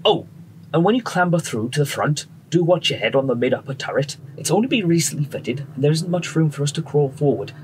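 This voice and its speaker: An actor playing a friendly, assured wartime bomber pilot with a British accent, brisk and reassuring, in a radio drama. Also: male voice, on the high side, expressive, a little mellow, natural voice. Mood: genial, welcoming. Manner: brisk, reassuring, polite officer. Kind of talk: period radio drama acting. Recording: noisy aircraft engine background.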